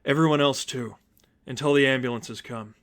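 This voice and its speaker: A man voicing an earnest, quiet young female crimefighter with soft, simple phrasing in a story reading. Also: medium pitch, normal speed, natural voice. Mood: quiet resolve.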